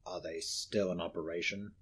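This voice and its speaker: A man voicing a miserly, bitter old moneylender in a low, growling, half-whispered voice, in a storybook reading. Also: fairly even intonation, normal speed, fairly bright tone, husky. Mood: curt, grumbling.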